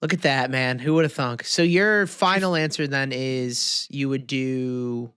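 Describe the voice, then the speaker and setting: A man's voice: mid-range voice, a little slow, a bit bright, clear, resonant tone, no room sound. A young man from Illinois co-hosting a podcast, chatting casually in a joking, slangy way. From Illinois, United States. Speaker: podcast co-host. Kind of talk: casual chat.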